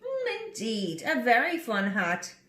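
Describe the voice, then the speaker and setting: A woman's voice: on the high side, huge pitch swings, takes it slowly, a bit bright, reverberant, full character voice. A woman voicing a playful, teasing monkey in a story reading. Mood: cheeky, teasing.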